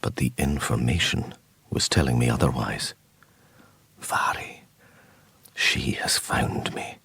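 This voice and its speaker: Casting: brooding, haunted psychic detective narrator, very low husky voice, radio play. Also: male voice, slow pace, pushed and bright, whispered, full character voice. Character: haunted, troubled male psychic detective narrating his story. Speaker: narrator and lead character. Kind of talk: radio drama first-person narration.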